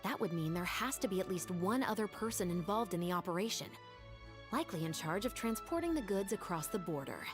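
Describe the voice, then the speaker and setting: A woman playing a composed, methodical young investigator in a video game, speaking in a measured, formal way over music. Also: mid-range voice, animated, natural voice. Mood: measured, analytical.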